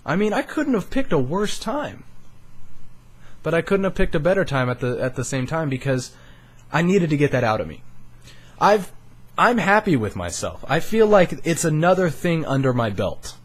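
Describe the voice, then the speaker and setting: A man's voice: a little high, sing-song, normal speed. Young man talking candidly to his mic. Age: young adult. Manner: earnest, rambling, swears casually. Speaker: YouTuber. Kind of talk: candid personal vlog. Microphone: studio microphone.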